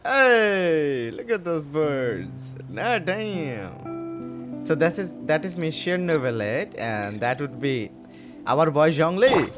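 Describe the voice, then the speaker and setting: Casual playthrough commentator, sex unclear: mid-pitched, expressive, slangy, with game music behind. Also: slow. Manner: jokey, slangy, exclaiming. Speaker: streamer reacting to a game.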